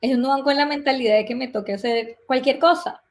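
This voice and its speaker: A young woman from Colombia with a Colombian accent, a guest in a casual interview, telling stories in a lively way and acting out remembered dialogue. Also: high voice, fast.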